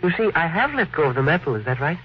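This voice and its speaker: An actor playing an earnest, courteous, dreamy inventor who is hesitant yet insistent, in 1950s radio drama style. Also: male voice, on the high side, sing-song, rapid-fire, a little hiss or hum. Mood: quietly confident. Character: earnest, polite, dreamy male inventor, quietly persistent. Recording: dull vintage radio recording.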